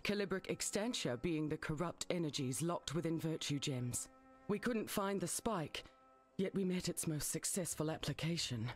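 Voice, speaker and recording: A woman's voice: deep voice, lively intonation, normal speed. A woman playing a weary, resolute soldier in a fantasy video game, speaking in an earnest, serious way. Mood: bitter gratitude. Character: weary, resolute female soldier deserting her legion. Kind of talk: scripted fantasy game dialogue. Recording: music behind the voice. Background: game music.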